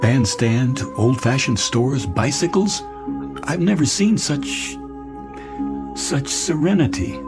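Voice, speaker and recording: An American actor playing a weary, wistful middle-aged businessman in an audio drama, speaking haltingly and repeating his words. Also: mid-range voice. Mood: dreamy yearning. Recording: radio drama with clear music underneath.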